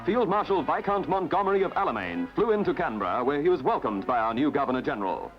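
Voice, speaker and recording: A man's voice: fairly high pitch, sing-song, fast, dull audio. A man reading scripted newsreel narration in a formal, declamatory way over music. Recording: band-limited old newsreel soundtrack.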